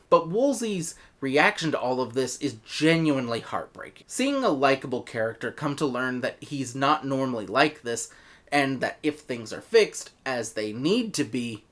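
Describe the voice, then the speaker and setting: Male American reviewer, opinionated narration, lively swooping intonation throughout. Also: fairly high pitch. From United States. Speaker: reviewer, host.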